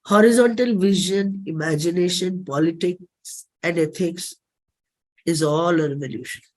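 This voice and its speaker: A middle-aged performer with an Indian accent, giving a dramatic autobiographical reading in measured, deliberate phrases. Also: mid-range voice, low-quality recording. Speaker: singer and performer.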